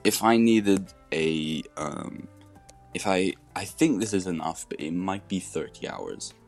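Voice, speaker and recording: A man's voice: low-pitched, big pitch swings, unhurried, a little mellow, faint music behind. A teenage boy who rambles and mimics others sarcastically as a student guest. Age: teens.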